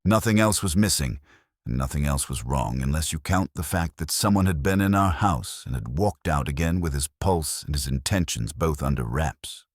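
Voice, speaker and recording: Super deep guy reading a detective story, lots of pitch movement, dry close sound. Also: expressive, moderate pace, dry recording. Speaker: narrator. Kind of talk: scripted audiobook narration of a detective story.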